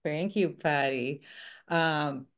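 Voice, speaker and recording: A woman co-hosts, posing her questions in a formal, deliberate way with small filler pauses. Her voice sits very low for a woman. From Puerto Rico. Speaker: co-host, staff of the organization. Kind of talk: scripted panel questions.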